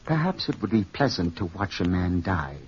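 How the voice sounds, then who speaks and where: An actor playing a suavely morbid, sinister fat man in an old radio horror drama, speaking with deliberate, falsely friendly politeness in a husky voice. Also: sits low, very animated, a little hiss or hum. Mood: morbid relish. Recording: muffled old-time radio recording.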